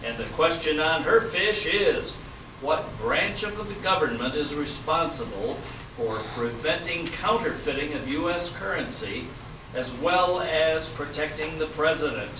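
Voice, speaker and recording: A man's voice: on the high side, expressive, measured pace. A man playing an upbeat, patient game show host in an amateur comedy radio play, with a showy announcer delivery. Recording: roomy, distant, noisy dull stage recording.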